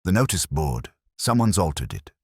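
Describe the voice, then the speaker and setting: Man as mystery-story constable: dutiful, nervously grave, clipped, very low register. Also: sing-song, moderate pace, breathy edge, no echo, studio quality, heavily acted.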